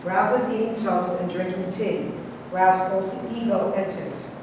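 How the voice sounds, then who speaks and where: A woman's voice: mid-range voice, steady tone, unhurried. A woman reading scene headings and stage directions as scripted narration at a staged play reading. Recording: distant, very echoey hall, clear noise.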